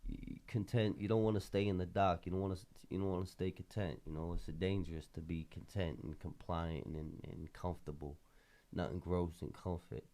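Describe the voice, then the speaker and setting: A man's voice: sits low, steady tone, a little fast, mellow. A man with a Boston accent, a podcast host talking casually in street slang with an emphatic, rambling flow. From Boston area, Massachusetts.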